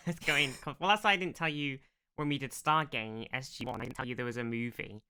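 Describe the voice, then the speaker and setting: A man hosting a podcast with a very bright, forward voice that sounds clean and smooth. He sits at a middle pitch and talks casually and bluntly, often acting out what was said or thought. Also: fairly quick. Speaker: podcast host. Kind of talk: casual chat.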